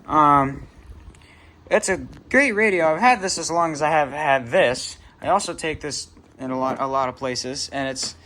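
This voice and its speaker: A man's voice: a little high, normal speed, bright, forceful tone. A man giving a casual, unscripted show-and-tell as a hobbyist vlogger, rambling, trailing off and correcting himself as he goes. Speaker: hobbyist vlogger presenting a radio collection.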